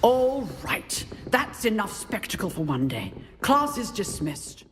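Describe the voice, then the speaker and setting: An actress playing a stern, proud old witch professor in a game, with a British accent and a husky, theatrical, commanding delivery. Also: mid-range voice, big pitch swings, measured pace, in a large hall, slight background noise. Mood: demanding, approving. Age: older.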